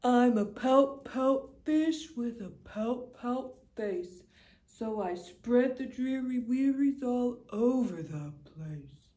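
A woman's voice: on the high side, expressive, takes it slowly, mellow. A woman voicing a gloomy male fish with a droning, mopey voice in a storybook reading. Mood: glum. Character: glum male pout fish, gloomy and sulky.

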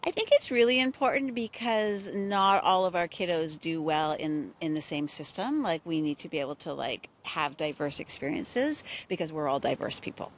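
A woman's voice: deep voice, normal speed, hardly any pauses, a little hiss or hum. A middle-aged woman, co-founder of a farm school, speaking earnestly in an outdoor interview. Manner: earnest, explanatory, with fillers like "like". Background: faint outdoor ambience.

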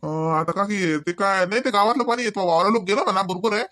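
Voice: medium pitch, quick pace, pushed and bright, no room sound, clean studio sound, natural voice. A performer playing a polite village farmer in a comedy cartoon, explaining deferentially. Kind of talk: colloquial cartoon dialogue.

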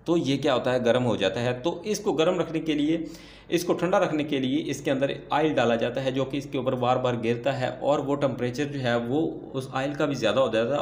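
A man teaching repair at a workbench, explaining step by step and asking himself questions before answering them. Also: medium pitch. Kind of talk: hands-on repair tutorial. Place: workbench indoors, off camera. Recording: echoey room.

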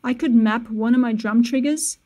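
A young woman walks through music software step by step in an easy, casual way. Her voice is fairly high but mellow and soft-edged. She sounds close to a studio mic in a small treated room. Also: moderate pace. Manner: relaxed, step-by-step explaining, self-correcting asides. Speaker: music producer tutorial host. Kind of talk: casual screen-recorded software tutorial. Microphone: studio mic on boom with headphones.

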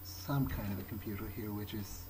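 A man's voice: lowish voice, fairly quick, background noise, close to an everyday voice. A man voicing a thoughtful, cautious vampire who thinks aloud in a hesitant, understated way during a game playthrough. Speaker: roleplaying video game player. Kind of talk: in-character improvised game playthrough. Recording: game music behind the voice.

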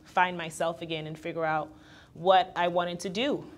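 Woman, 20s, trainer guest; low-ish register, open and chatty, animates quoted friends. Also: moderate pace. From Guyana. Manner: candid, laughs easily, quotes others in lively voices. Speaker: guest, fitness trainer and entrepreneur. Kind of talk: casual interview conversation.

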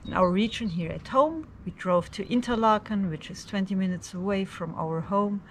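A woman's voice: medium pitch, moderate pace, far from the mic, slight background noise. A woman in her fifties from Switzerland giving an earnest, chatty vlog update outdoors in a garden, filmed on a camera at arm's length. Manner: chatty and earnest, with ums and restarts. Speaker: vlogger.

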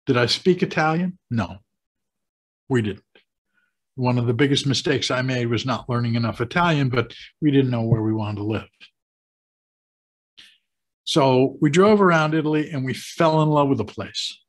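A man in his sixties with an American accent talks to camera as a host, explaining things deliberately with pauses for emphasis. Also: medium pitch, husky. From United States. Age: 60s. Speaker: host of a retirement advice channel. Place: small home office, indoors. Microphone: webcam video call setup.

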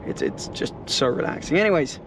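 A man's voice: mid-range voice, wildly swooping pitch, a little fast, vocal fry. A young man talking casually to a handheld camera in a car and outdoors, chatty and upbeat, with his voice dropping at the ends of phrases. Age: young adult. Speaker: car vlogger. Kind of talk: casual travel vlog to camera. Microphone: handheld action camera at arm's length. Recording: car and road noise behind.

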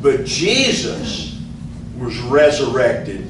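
An older male pastor gives an earnest, unhurried Bible teaching at a stand microphone. The room is very echoey, and he sounds a little distant from the mic. Steady background noise hums under his voice. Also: mid-range voice. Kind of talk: sermon teaching. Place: church meeting room with band gear. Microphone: stand mic, filmed from a distance.

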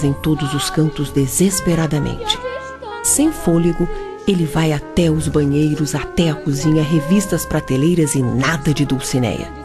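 A woman with a Brazilian accent narrating a scripted radio drama. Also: low-pitched.

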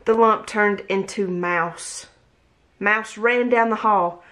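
A woman in her thirties reading a picture book aloud with gentle, expressive storytelling, at home on a webcam. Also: medium pitch, slow. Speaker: read-aloud host. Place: home room, indoors. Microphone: webcam at desk distance.

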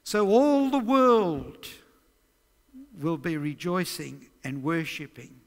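Man, older, Australian accent, high voice, measured preaching, long church reverb. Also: very animated. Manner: measured, reads scripture aloud with emphasis. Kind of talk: sermon teaching on prophecy. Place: church stage, large indoor hall.

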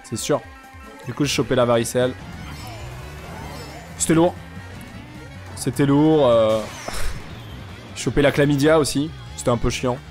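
A young man with a stuffy nose, chatting casually and joking deadpan with viewers while he streams a game. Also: mid-range voice, unhurried, long pauses, soft background music. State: congested, sick with sinusitis. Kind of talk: casual live-stream chat while gaming.

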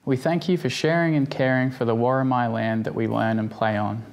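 Young man, creaky formal reading. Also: medium pitch, fairly even intonation, a little fast. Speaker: library staff member giving acknowledgement of country. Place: children's library corner, indoors. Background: guitar music.

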